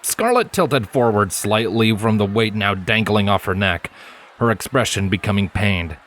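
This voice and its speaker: A man's voice: on the low side, animated, moderate pace, a bit bright. A man reading scripted story narration as an audiobook narrator. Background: background music.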